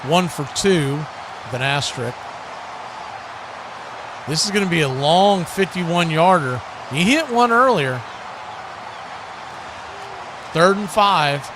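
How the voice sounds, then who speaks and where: Male play-by-play streamer, slightly high voice, excited on the action and chatty between snaps, clear background noise. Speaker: play-by-play host of a football watch party. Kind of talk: live play-by-play commentary with casual asides.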